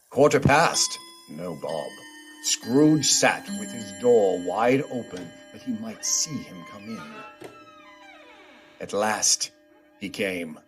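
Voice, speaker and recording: A man playing the narrator of a radio play, telling the story deliberately with theatrical pauses over music. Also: medium pitch. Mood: wry suspense. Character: measured, warm-hearted male storybook narrator. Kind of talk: dramatic scripted storytelling.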